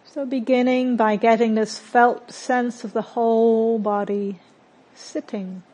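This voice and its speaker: A woman teaching meditation, guiding a body scan in a calm, gentle way with long pauses between short phrases. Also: fairly high pitch, rough recording.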